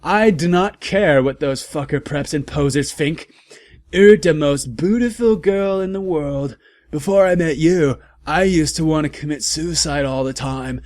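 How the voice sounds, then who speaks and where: A man's voice: a little high, unhurried, darkish tone, lightly acted. A man voicing an overwrought, awkward, lovesick gothic teenage boy in a comedic fan fiction reading. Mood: anguished adoration.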